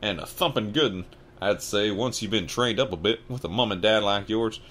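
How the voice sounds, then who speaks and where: A man voicing a huge, gruff half-giant groundskeeper who talks loud and raised, with rough rustic speech. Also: lowish voice, normal speed, a little hiss or hum, lightly acted. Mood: gruff hearty.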